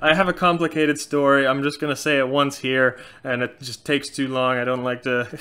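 A man in his thirties with a light Norwegian accent answers viewer questions in a casual, outspoken way. His voice is bright and crisp. He sits close to a webcam in a small indoor room. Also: medium pitch, moderate pace. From Norway. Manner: frank, animated, gestures a lot. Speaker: channel host. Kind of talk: casual Q&A chat.

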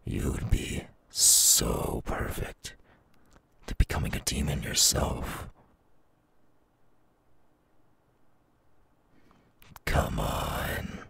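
Here is a menacing demon villain for an ASMR audio roleplay. His voice is extremely low, rasping with heavy fry and breath. He gloats and threatens at a slow pace, like a predator holding back his hunger. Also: fairly bright tone, exaggerated voice. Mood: sinister coaxing. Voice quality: very husky, gravelly, breathy.

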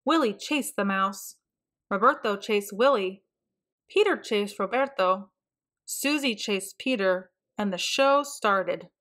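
A woman narrating a children's storybook in an expressive, deliberate way. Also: medium pitch. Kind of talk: read-aloud storybook narration.

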